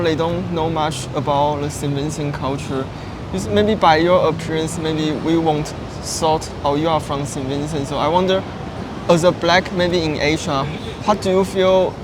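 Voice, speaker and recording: A man with Mandarin as his asks questions in simple English. His voice sits fairly high, and he reacts with quick, eager little remarks. Clear street and traffic noise fills the background. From Taiwan. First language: Mandarin Chinese. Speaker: interviewer. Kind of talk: casual street interview.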